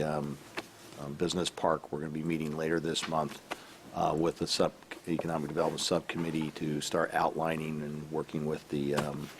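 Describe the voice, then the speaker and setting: Deep monotone man, hoarse, faint hiss behind, halting city staff update. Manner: halting, many fillers and restarts. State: losing his voice. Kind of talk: council meeting report. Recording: faint background noise from a live stream.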